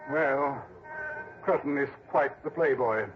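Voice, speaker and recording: A man's voice: medium pitch, takes it slowly, close to an everyday voice. An actor playing an anxious, fussy insurance man in a 1960s radio drama, agitated, repeating himself and cutting in. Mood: insistent, flustered. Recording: dull noisy old radio recording.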